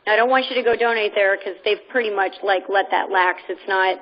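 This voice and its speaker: A woman hosting a conference call, giving an informal, rambling and persuasive motivational pitch over the telephone. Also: a little fast. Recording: muffled, band-limited conference phone line.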